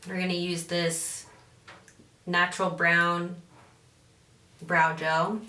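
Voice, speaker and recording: A woman's voice: fairly low pitch, flat delivery, slow, pushed and bright. A woman chatting casually while doing her makeup, often losing her train of thought and circling back. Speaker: beauty vlogger. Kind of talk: casual makeup tutorial chat. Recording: roomy echo, mic at a distance.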